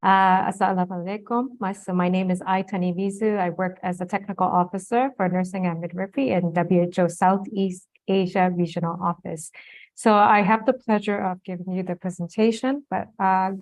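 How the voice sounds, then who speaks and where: A woman's voice: medium pitch, fairly even intonation, a little fast, darkish tone. A woman from Japan, a native Japanese speaker with a Japanese accent, giving an online guest lecture in a conversational, explanatory way. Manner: conversational, explanatory, some restarts.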